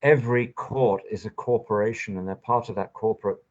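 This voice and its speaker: A man's voice: on the low side, a little fast. A man hosting a conversational interview, giving emphatic, impassioned opinions. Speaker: podcast host. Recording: dull lo-fi audio.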